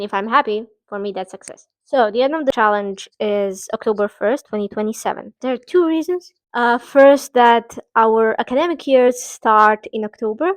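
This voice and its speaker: A woman's voice: on the high side, dry recording. Chatty young woman vlogging. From Bosnia. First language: Bosnian. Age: 20s. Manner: chatty, self-deprecating, restarts and asides. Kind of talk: casual talking-to-camera vlog.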